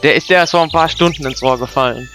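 A man's voice: mid-range voice, fast, sharp tone. A man acting himself in scripted amateur audio drama dialogue. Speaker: cast member playing himself in audio play. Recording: faint music behind the voice.

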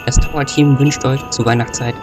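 A man's voice: medium pitch, steady tone, a little fast, music in the background. A man narrating a playful scripted Christmas story.

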